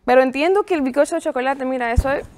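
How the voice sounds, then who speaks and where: A woman's voice: high voice, racing, a little mellow, a little hiss or hum. A woman in her thirties, a guest on a TV studio show, answering questions cheerfully and laughing often. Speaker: guest, pastry business owner. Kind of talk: interview answers.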